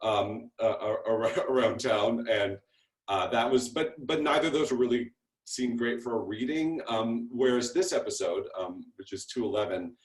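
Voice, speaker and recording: A man speaks from an echoey room on a video call. His voice sits at a medium pitch, and he talks casually and haltingly, with a self-deprecating manner. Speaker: TV series creator introducing his cast. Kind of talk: casual spoken interview.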